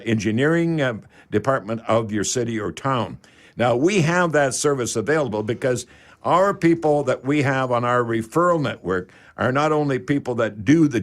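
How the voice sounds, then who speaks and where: A man hosts a home repair advice program on talk radio. His pitch is middling and his delivery is folksy and halting. He often repeats words to press a point home. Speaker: radio show host.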